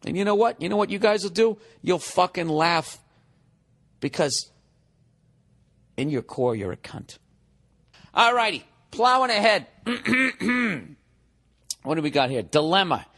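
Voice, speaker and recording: High-voiced man in his forties, profane ranting. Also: very animated, unhurried, pauses often, fairly bright tone. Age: 40s. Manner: mocking, exasperated. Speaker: podcast host. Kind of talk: comedic podcast rant.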